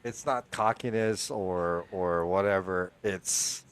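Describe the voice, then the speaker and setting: A man's voice: low voice, takes it slowly, clean tone. A man in his forties from the Philippines, co-hosting a podcast in a casual, rambling way with many restarts. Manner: rambling, restarts, laughs often. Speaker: podcast co-host.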